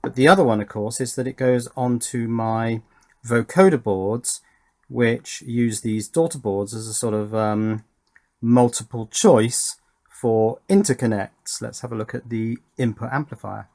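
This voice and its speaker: A middle-aged man talks through a practical electronics demonstration. His delivery is slow and unscripted, arriving in short halting phrases as he thinks aloud. The pitch sits in an ordinary middle range. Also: vocal fry, a little mellow. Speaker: electronics hobbyist and presenter. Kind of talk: hands-on workbench demonstration, unscripted commentary. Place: indoor electronics workbench.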